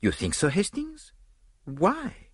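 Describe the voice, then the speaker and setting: A man's voice: high-pitched, wildly swooping pitch, slow pace, breathy edge, no echo, studio quality, voice pushed up, full character voice. A man voicing a fussy, courteous detective, exclamatory and formal in an audiobook story. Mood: crestfallen, courteous. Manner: exclamatory, formal foreign phrasing. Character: fussy, theatrical Belgian detective, male, excitable and courteous.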